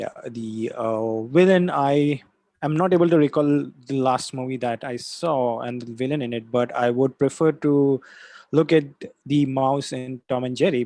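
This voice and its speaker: A man of middle pitch introduces himself over a video call, with a dry, close sound. He talks in a steady, explanatory way about his facilitation work. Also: no room sound. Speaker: design thinking facilitator. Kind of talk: self-introduction in an online workshop.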